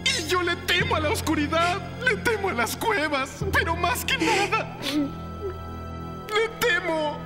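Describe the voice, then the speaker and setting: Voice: extremely high pitch, animated, takes it slowly, full character voice. An actor voicing an anxious, wry male feathered dinosaur in a cartoon dub, fretful and dramatic. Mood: terrified. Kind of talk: animated series dub. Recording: reverberant, loud music behind.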